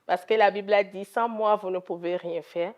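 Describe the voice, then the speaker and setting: A woman's voice: medium pitch, fairly even intonation, moderate pace, darkish tone. A woman from Togo with a Togolese French accent, answering interview questions in an earnest, devout way as a gospel singer.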